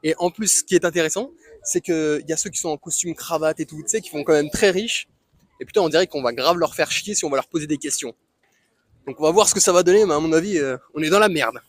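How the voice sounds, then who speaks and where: Fast-talking young man interviewing on the street. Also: a little high, no echo. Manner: slangy, chatty, joking. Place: city street outdoors. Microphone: handheld mic.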